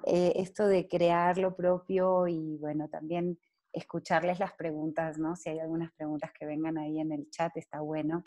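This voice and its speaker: Low-voiced Argentine woman on a call. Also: level tone, normal speed, mellow. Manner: friendly, laughing, searching for words. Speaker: interviewer, facilitator. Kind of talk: casual video call interview. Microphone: video call.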